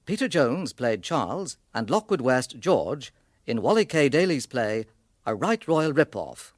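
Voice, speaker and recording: A man's voice: mid-range voice, big pitch swings, measured pace, no echo. A man reading scripted radio credits as the announcer.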